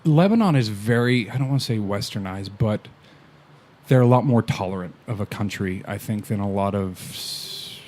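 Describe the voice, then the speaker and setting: A man's voice: fairly low pitch, expressive, normal speed, a little mellow. A man chatting casually on a podcast, telling laid-back stories, swearing now and then and chuckling often. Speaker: podcast guest. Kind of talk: casual chat. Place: podcast recording in Canada.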